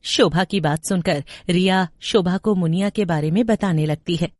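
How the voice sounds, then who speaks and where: A woman's voice: fairly low pitch, moderate pace, a little mellow, no echo, clean studio sound. A woman narrating a scripted horror story as a storyteller.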